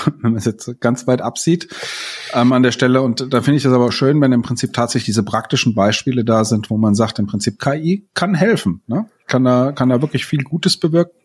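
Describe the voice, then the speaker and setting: A man talking in a relaxed podcast chat, loose and conversational, with many fillers and tag questions. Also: medium pitch, breathy edge. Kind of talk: casual chat.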